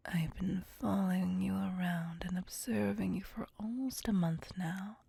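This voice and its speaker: A woman playing a seductive, possessive vampire lover in an intimate ASMR roleplay, breathy and whispered, with teasing menace. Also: medium pitch, steady tone, mellow, slight background noise. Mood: adoring, teasing. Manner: slow, teasing, theatrical purring menace.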